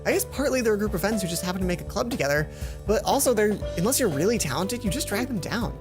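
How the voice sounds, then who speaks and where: A woman voicing an excitable, chatty schoolgirl friend in a visual novel, bubbly and eager. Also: mid-range voice, fast, music in the background, close to an everyday voice. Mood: chatty, explaining.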